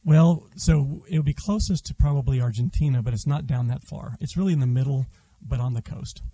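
A man born in Brazil, a school head, answering interview questions in a relaxed way with fillers and restarts. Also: medium pitch, brisk, rough recording. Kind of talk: casual interview conversation.

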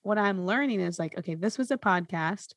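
A woman's voice: mid-range voice, expressive, a little fast, dry recording, clean studio sound. A woman hosting a podcast, talking casually and reflectively, thinking through her points with lists and examples. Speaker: podcast host.

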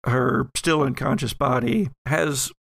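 A man's voice: mid-range voice, slow pace, vocal fry, a bit bright, no echo, studio quality. A man narrating a horror role-playing audio drama as game master, with descriptive, suspenseful storytelling.

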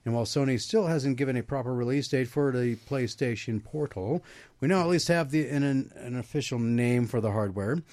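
A man's voice: medium pitch, a little fast, darkish tone, no echo. Dry-voiced male radio host. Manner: reads news items aloud, restarts often. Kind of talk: casual radio chat and news reading. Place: radio studio.

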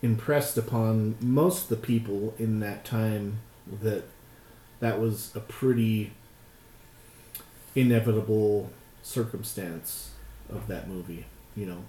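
A man's voice: fairly low pitch, slow pace, warm tone. A middle-aged American man from rural Utah, chatting casually and choosing his words hesitantly. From rural Utah, United States. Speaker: podcast participant. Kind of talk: casual chat. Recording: roomy echo, clear background noise.

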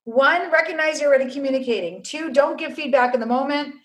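A woman speaking as a guest mediator, setting out her advice step by step and filling it with stories. Her voice sits a little higher than most, though she calls it low. She talks in an earnest, explanatory way. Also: quick pace. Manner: earnest, lays out numbered steps, tells anecdotes. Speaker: author and family mediator.

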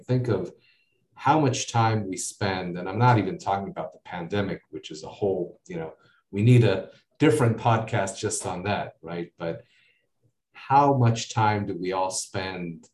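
A man with an American accent talks in a loose, rambling way, filling his pauses with "uh" and "you know". His voice sits low. He is recorded from a distance in a noticeably echoey room. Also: darkish tone. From United States. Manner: rambling, many fillers and asides. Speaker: guest. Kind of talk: casual interview talk.

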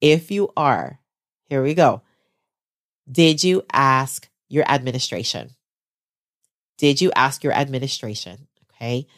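A woman with a low, congested voice, still getting over the flu. She talks straight to her listeners as a special ed teacher with her own podcast, chatty and encouraging. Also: expressive, a little slow, long pauses. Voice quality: lower, congested voice. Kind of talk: solo podcast talk.

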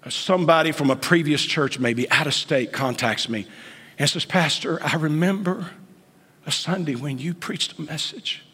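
Man, late 50s, pastor, sermon delivery that slides between hushed whispers and high exclamations, breathy timbre, reverberant sanctuary. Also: normal speed. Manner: swings from hushed whispers to sudden high outbursts. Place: church sanctuary. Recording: long church reverb.

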